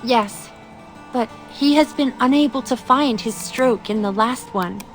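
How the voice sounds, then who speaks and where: A sorrowful young woman disciple in a fantasy game, voiced by an actress, speaks earnestly. Her voice is high and very unhurried, with music clearly behind it. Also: natural voice, low-quality recording. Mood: pensive. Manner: measured, earnest. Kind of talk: dramatic video game voice acting.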